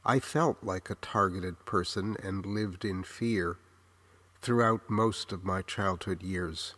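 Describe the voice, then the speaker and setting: A man in his sixties with an American accent, reading a reflective scripted memoir in a measured, literary, earnest way. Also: lowish voice, a bit bright. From Los Angeles, United States. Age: 60s. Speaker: memoir narrator.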